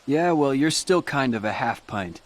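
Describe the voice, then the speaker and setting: A man's voice: mid-range voice, expressive, moderate pace, lightly acted. An actor playing a reserved, brooding teenage boy hero in a video game, protective and self-blaming, in a cool, understated, serious manner. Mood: wry, fond.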